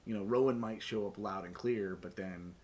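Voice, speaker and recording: A man's voice: lowish voice, normal speed, crowd noise. A man hosting a tabletop game stream as game master, talking casually and jokily with plenty of swearing. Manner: profane, joking, laughs often.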